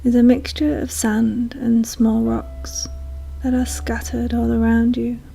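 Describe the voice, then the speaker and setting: A woman leads a guided meditation in a soothing, hushed manner, leaving long pauses. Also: fairly high pitch, vocal fry, husky, low-quality recording. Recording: soft music behind voice. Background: calm meditation music.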